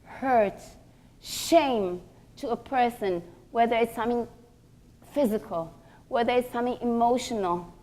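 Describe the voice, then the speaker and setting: A woman's voice: on the high side, a little slow, warm tone. A woman teaching a religious class to women in an earnest, didactic way, often posing rhetorical questions and stressing her points. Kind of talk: religious lecture to a group of women.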